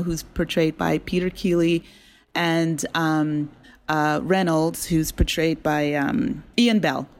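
A woman being interviewed as a drama creator, talking casually with an animated, enthusiastic manner. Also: deep voice.

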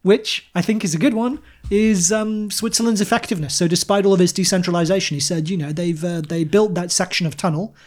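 A man co-hosting a podcast, discussing politics in a measured, hesitant way with many pauses. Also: high voice, steady tone. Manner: measured, hesitant, lots of ums. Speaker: podcast co-host.

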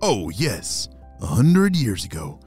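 A man voicing a 137-year-old grandpa tortoise, wise and protective, who speaks slowly and gently. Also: lowish voice, wildly swooping pitch, husky, faint music behind, voice pushed down, heavily acted. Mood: gentle.

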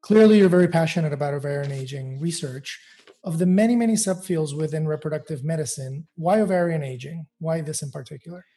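Husky higher-pitched male podcast host. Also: a little fast, mellow. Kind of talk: scripted podcast introduction and interview questions.

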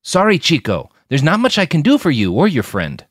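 Man performing a middle-aged militia officer, grim, curt, pitched up, forceful volume, dry studio sound, audio fiction. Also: huge pitch swings, a little fast, dry recording. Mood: resigned. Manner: curt, peppered with Spanish words.

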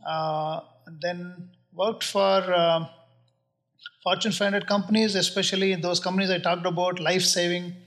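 Indian man in his forties, fairly high voice, earnest and unhurried, leans on "uh" and "um" between thoughts. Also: flat delivery, a bit bright. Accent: Indian English. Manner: earnest, measured, pauses with many uh and um fillers. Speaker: real estate investor guest. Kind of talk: casual interview answers.

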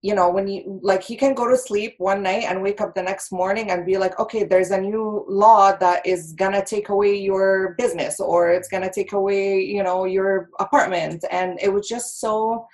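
A woman's voice: mid-range voice, fairly even intonation, moderate pace, hardly any pauses, a little mellow. A woman in her thirties from Egypt, a podcast guest talking chattily with lots of laughs and fillers. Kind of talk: casual interview chat.

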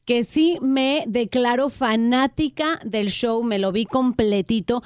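A woman's voice: a little high, slow pace, clean tone, no room sound, clean studio sound. A woman from Mexico co-hosting a talk show, speaking bluntly and challenging the guest. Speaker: co-host.

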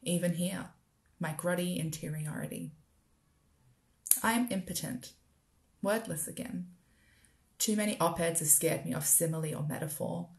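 Australian woman, spoken-word writer, lowish voice with heavy fry, measured literary read. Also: fairly even intonation, darkish tone. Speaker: writer performing own work.

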